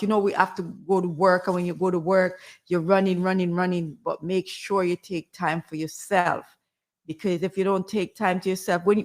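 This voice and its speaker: A woman with a Jamaican accent, chatting casually as a guest and sharing health tips in a gentle, rambling way. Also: medium pitch. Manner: gentle, rambling, many fillers. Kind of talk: casual health advice chat.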